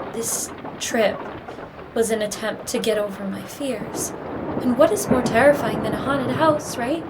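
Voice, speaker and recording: An actress as a nervous, earnest female traveler in an audio horror drama. Her voice sits a little high and hesitates, against very loud stormy ambience. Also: very noisy, close to an everyday voice. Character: timid, earnest sheltered woman facing her fears.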